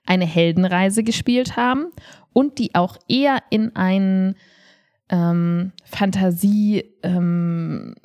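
Eine Frau in ihren Dreißigern spricht als Co-Moderatorin eines Podcasts locker, offen und nachdrücklich. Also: female voice, fairly low pitch, takes it slowly, darkish tone, no room sound. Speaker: podcast co-host, improv performer. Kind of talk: casual conversational podcast talk.

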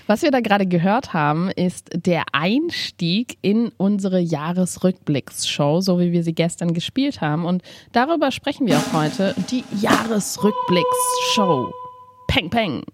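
A woman's voice: fairly low pitch, sing-song, unhurried, no room sound. A woman co-hosting an improv podcast, chatting casually on a couch with a stuffy, nasal voice from a cold. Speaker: podcast co-host. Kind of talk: casual chat.

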